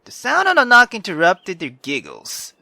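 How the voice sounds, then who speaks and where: A woman's voice: mid-range voice, huge pitch swings, moderate pace, vocal fry, bright, forceful tone, no room sound. A woman reading a visual novel aloud as a game streamer, in a dramatic storytelling style.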